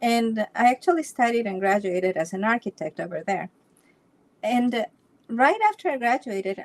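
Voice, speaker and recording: Woman from Ecuador, interview guest, chatty storytelling, mid-pitched voice. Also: a little mellow. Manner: storytelling with frequent restarts and light laughs. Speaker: Feng Shui consultant, interview guest. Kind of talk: casual interview chat.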